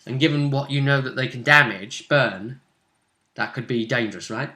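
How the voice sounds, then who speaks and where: A man's voice: medium pitch, normal speed, pushed and bright. A man speaking as game master, casually narrating a tabletop roleplaying game and checking in with his player in a conversational way. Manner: conversational, addresses the player with tag questions.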